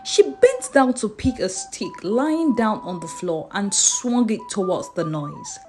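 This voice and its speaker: A woman narrating a folktale as a storyteller, in an expressive, theatrical way. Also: fairly high pitch, unhurried, slight background noise.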